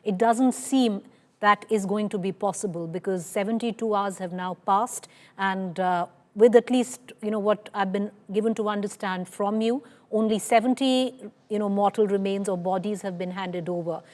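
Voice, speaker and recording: Indian woman anchoring live news, medium pitch. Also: fairly even intonation, moderate pace, darkish tone. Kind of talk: live breaking news presenting.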